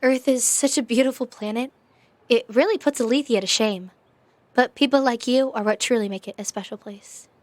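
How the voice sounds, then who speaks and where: A woman playing a composed, gracious young leader in an audio drama, measured and gently formal. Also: a little high, natural voice. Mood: reflective.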